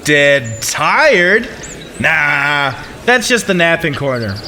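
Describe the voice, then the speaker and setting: Man acting nonchalant slacker with lively pitch. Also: takes it slowly, a little hiss or hum, full character voice. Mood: blasé. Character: blasé, dim male slacker shrugging off disaster. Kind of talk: comedic audio drama acting.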